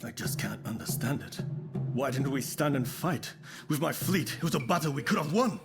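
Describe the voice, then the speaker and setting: An actor playing a proud, indignant samurai naval officer in a video game cutscene, impassioned and accusing. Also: male voice, medium pitch, lively intonation, normal speed, vocal fry. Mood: frustrated. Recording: music behind the voice.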